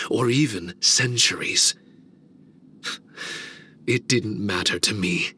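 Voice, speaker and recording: Brief: a reflective shikigami servant in a game, measured and very slow, in a clear, bright voice. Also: male voice, mid-range voice, full character voice. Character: solemn, wistful male shikigami servant. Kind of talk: game cutscene voice acting.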